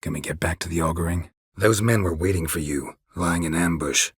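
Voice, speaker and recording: A gruff, dry-witted monster hunter for a fantasy video game. His voice is extremely deep and heavily rasped, recorded bone-dry. He speaks in clipped, deadpan phrases with a stoic temper. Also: fairly quick, dry recording. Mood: dry, guarded. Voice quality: gravelly, husky low voice.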